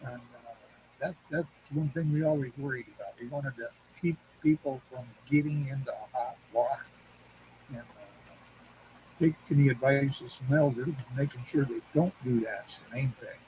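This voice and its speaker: An older man chatting casually and reminiscing in a rambling, halting, anecdotal way. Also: medium pitch, steady tone, darkish tone, poor audio. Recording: clear background noise and hum.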